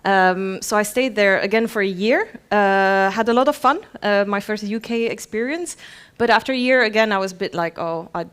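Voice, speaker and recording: A woman in her thirties from Belgium speaks at a conference in a medium-pitched voice. She is informal and chatty, presenting with a self-deprecating touch. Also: normal speed, fairly bright tone, clean tone. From Belgium, grew up in Bruges. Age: 30s. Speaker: conference speaker, head of product.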